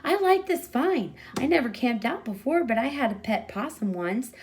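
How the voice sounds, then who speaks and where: A woman voicing a boisterous ten-year-old boy from a storybook, full of excitable, rambling chatter. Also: sits high, normal speed, a little hiss or hum, pitched above the performer's own voice. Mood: excited chatter. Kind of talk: storybook character reading.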